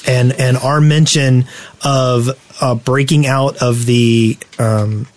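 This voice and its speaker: A man's voice: medium pitch, fairly even intonation, slow pace. A man co-hosting a gaming podcast, explaining things casually and talkatively. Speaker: podcast co-host.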